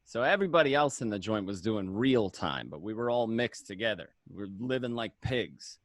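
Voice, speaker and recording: A man's voice: lowish voice, animated, moderate pace, no room sound, natural voice. A man playing a wry, matter-of-fact mobster who narrates his prison memories conversationally in a dramatic script reading. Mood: wry reminiscing.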